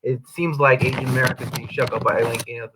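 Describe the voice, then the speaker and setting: A man's voice: mid-range voice, fairly even intonation, fast, noisy background. A man hosting an interview over a video call, outspoken and emphatic in his talk. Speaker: host. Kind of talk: casual interview.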